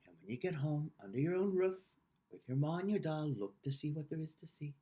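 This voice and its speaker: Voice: mid-range voice, lively intonation, a little fast, mellow, natural voice. King of the Fairies, as a storyteller voices him. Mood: commanding. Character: the King of the Fairies, gruff but fair.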